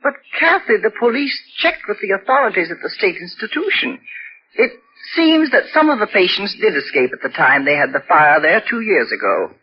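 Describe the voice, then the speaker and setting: A woman's voice: medium pitch, very animated, normal speed, close to an everyday voice. An actress playing an anxious, grave middle-aged mother in dramatic 1940s radio style. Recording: muffled vintage radio with faint noise.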